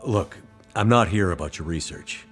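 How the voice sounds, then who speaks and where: A deep male voice from an actor with faint music underneath. He is a wary traveler in a video game, plain-spoken and understated. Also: moderate pace, close to an everyday voice. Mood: sober, plain. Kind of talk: video game cutscene acting.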